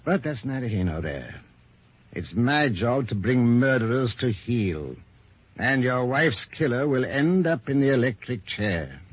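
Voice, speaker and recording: An actor playing a shrewd, stern veteran police inspector in 1950s radio drama style, authoritative and declamatory. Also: male voice, medium pitch, very animated, unhurried, slight background noise. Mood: stern, commanding. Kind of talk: 1950s American radio crime drama acting. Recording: muffled, lo-fi old radio recording.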